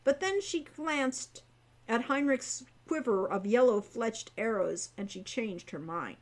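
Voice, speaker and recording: A woman reading her own young adult fantasy novel aloud as expressive storybook narration. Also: fairly high pitch, measured pace.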